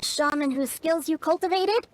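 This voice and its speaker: A woman voicing a tiny, excitable, childlike fairy companion in a video game, with a squeaky voice and naive, exclamatory manner. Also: normal speed, a little hiss or hum, close to an everyday voice. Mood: skeptical. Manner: exclamatory, naive, refers to self in third person. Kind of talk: animated video game voice acting.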